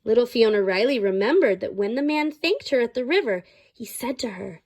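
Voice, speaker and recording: A woman reading a children's story aloud expressively, like a teacher, pausing to explain and ask the young listeners questions. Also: on the high side, animated, darkish tone.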